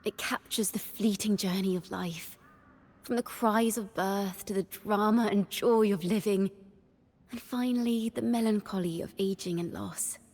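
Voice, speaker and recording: A woman voicing a young, horned wandering bard in a video game, a cheerful yet wistful poet who speaks in lyrical, expressive storytelling with a reverberant tail. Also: mid-range voice, measured pace. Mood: reflective. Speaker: game character voice. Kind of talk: scripted character monologue.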